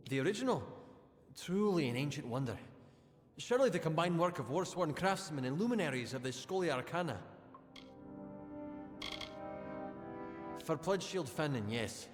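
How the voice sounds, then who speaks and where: An actor playing a boastful, excitable fantasy locksmith in a video game, speaking in a theatrical, flustered, self-important way. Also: male voice, on the high side, fairly quick. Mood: admiring. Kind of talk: video game character acting. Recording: long reverb, music behind.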